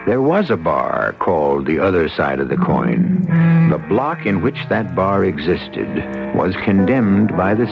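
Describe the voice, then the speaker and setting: A man hosting a radio drama with measured, formal, theatrical scripted narration. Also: low-pitched, gravelly. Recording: muffled vintage radio broadcast with music. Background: music.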